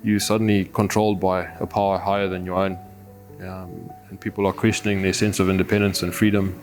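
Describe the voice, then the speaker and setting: Low, even-toned Zimbabwean man over music. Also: a little fast. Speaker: adventurer and overlander, interviewee. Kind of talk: reflective documentary interview.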